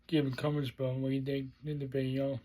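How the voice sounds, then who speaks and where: A man hosting a casual unboxing vlog, speaking in halting, short fragmented phrases. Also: mid-range voice, monotone, warm tone. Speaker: unboxing video host.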